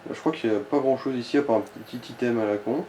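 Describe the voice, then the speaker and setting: A man's voice: mid-range voice, rapid-fire, dark tone. A man giving casual, crude-tongued co-commentary and advice during a video game let's play. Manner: informal, crude slang. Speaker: co-commentator advising the player. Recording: roomy echo, background noise.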